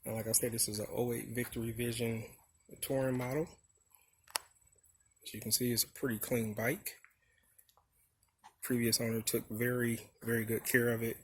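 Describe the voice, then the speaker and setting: A man's voice: medium pitch, little pitch movement, normal speed, long pauses. A man casually walking through motorcycle gear in an informal, unscripted way, as in a vlog. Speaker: motorcycle vlogger showing his gear. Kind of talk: casual product walkthrough. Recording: handheld video with clear background noise.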